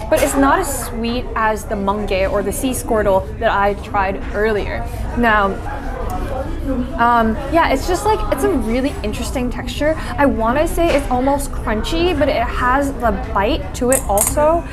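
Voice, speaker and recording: A woman's voice: on the high side, a little slow, music in the background. A young woman in her twenties, a food vlogger chatting to the camera as she tastes seafood in a restaurant, describing each bite and gushing over it. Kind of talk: casual food vlog narration. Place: seafood restaurant by a window, indoors. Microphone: camera held close, selfie framing.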